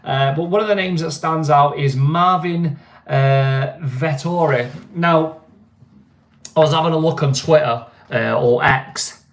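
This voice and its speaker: A man's voice: fairly high pitch, measured pace, reverberant. A man with a Mancunian accent, chatting casually on a stream and often asking "you know what I mean". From England. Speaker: vlogger. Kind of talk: casual live-stream chat.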